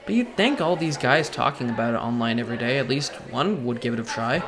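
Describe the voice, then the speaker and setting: A man's voice: mid-range voice, expressive, quick pace, a loud crowd, close to an everyday voice. A man voicing a geeky, idealistic teenage boy who narrates a comic dub in a wry, self-deprecating way. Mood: earnest. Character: geeky, idealistic teenage boy, self-made masked hero, wryly sardonic. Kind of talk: first-person narration and dialogue in a comic dub. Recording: loud music under the voice.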